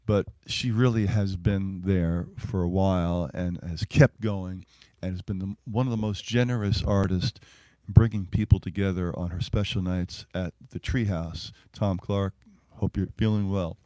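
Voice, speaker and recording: An American man, a singer-songwriter chatting casually between songs at a live show, halting and rambling in a self-deprecating way. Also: low-pitched, dark tone, in a large hall. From United States.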